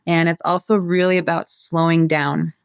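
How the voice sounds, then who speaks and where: Woman, lowish voice, herbalist guest, earnest, flowing, lots of "like", dry sound. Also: level tone, normal speed, vocal fry, smooth, no room sound. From United States. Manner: earnest, flowing explanation with many "like" fillers. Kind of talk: casual podcast interview.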